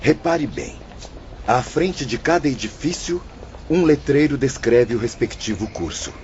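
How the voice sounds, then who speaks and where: Learned male spirit mentor, played by an actor, solemn, didactic, expressive, slightly muffled audio-drama sound. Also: mid-range voice, unhurried, close to an everyday voice. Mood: solemn, instructive. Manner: formal, didactic. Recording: dull lo-fi audio, faint noisy ambience.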